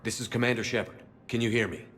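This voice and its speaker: An actor playing a calm military commander in a video game, steady and reassuring. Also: male voice, mid-range voice, fast talker, soft background music, close to an everyday voice. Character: calm male commander guiding a captive. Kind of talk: game voice acting.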